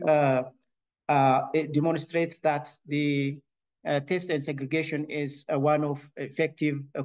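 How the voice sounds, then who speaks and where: A man with an Ethiopian accent delivers a scientific conference talk, his voice sitting a little higher than most men's. He moves through his slides haltingly, filling gaps with frequent pauses and restarting phrases. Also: a little mellow. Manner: hesitant, many filled pauses and restarts. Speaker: veterinary researcher presenting. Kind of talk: conference presentation.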